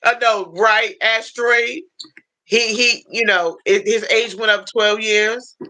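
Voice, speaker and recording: An American woman hosting a casual livestream, telling stories in an animated way, repeating phrases and acting out what people said. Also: a little high, slow, poor audio. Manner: animated storytelling, repeats phrases, acts out quotes. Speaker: livestream host.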